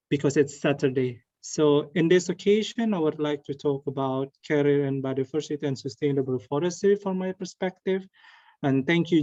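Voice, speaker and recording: A young man from Indonesia giving an earnest online presentation over a video call, with pauses and restarts. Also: fairly high pitch, warm tone, no room sound. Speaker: webinar presenter. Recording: dull lo-fi call audio.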